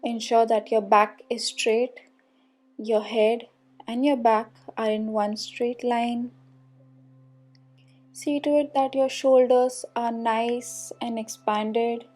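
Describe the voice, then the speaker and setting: A woman leading a guided meditation, calmly and soothingly, giving unhurried instructions with long pauses. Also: a little high. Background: soft meditation music.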